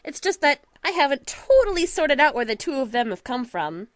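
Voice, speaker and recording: Woman playing a bubbly high-pitched teenage girl. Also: normal speed, no room sound, clean studio sound. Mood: playful, knowing. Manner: energetic, folksy, laughs easily. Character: cheerful, breezy, perceptive teenage schoolgirl. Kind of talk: audio drama character acting. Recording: music behind the voice.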